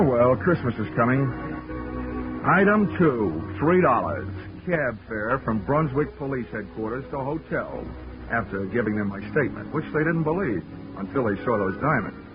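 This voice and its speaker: A thin, tinny 1940s radio recording with music carries a hard-boiled detective. He is wry and sardonic, with a medium-pitched voice, delivering his lines like a wisecracking gumshoe. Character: wry, hard-boiled male private investigator. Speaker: narrating lead of a detective radio serial.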